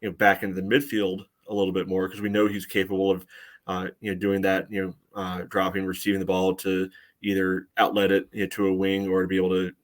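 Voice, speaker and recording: Low-pitched man talking soccer, choppy phrasing. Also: monotone, fast talker. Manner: hesitant, choppy phrasing peppered with "you know". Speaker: podcast co-host and soccer analyst. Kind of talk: casual sports analysis chat.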